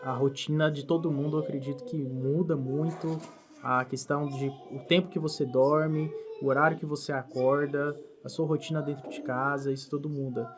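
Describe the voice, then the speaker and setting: A man's voice: fairly high pitch, flat delivery, normal speed. A Brazilian man co-hosting a podcast, asking questions and thinking aloud as he goes. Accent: Brazilian Portuguese. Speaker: podcast co-host. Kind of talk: casual podcast discussion.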